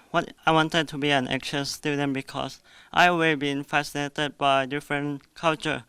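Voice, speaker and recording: A young exchange student from Thailand, answering interview questions in hesitant, careful English. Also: male voice, on the high side, creaky, bright, forceful tone, clean tone, no room sound.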